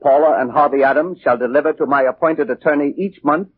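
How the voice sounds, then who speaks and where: Formal lawyer, husky, vintage radio. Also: male voice, a little high, fast. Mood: solemn, formal. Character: formal, firm male lawyer reading a will. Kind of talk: 1940s radio thriller acting. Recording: muffled, narrowband 1940s radio recording.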